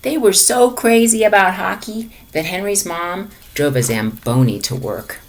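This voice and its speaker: A woman reading a children's picture book aloud in an expressive way, as if to young listeners in a lesson. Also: medium pitch, unhurried. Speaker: storybook reader for a lesson.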